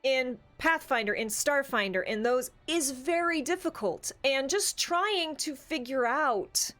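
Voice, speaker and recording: A woman co-hosting a casual tabletop gaming discussion, talking in an animated, opinionated, conversational way. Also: high-pitched, unhurried, faint music behind. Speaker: podcast co-host.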